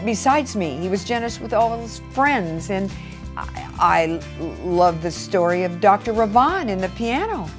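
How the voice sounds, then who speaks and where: A woman's voice: medium pitch, expressive, unhurried. An older woman fondly reminiscing in a documentary interview on a lapel mic. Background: music.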